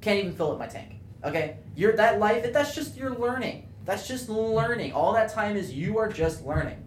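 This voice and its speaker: A man's voice: high-pitched, animated, moderate pace, a little hiss or hum. A young man chatting casually on a podcast, blunt and opinionated, with frequent swearing. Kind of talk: casual chat. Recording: echoey room.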